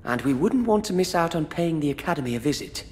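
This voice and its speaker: A man's voice: on the high side, lively intonation, a little mellow, natural voice. Young duke for a fantasy game, male actor: formal, polite, self-assured, melodic delivery, heard in a reverberant hall. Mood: composed, assured. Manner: formal, courtly, measured phrasing. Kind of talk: video game cutscene acting. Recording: long hall reverb, background noise.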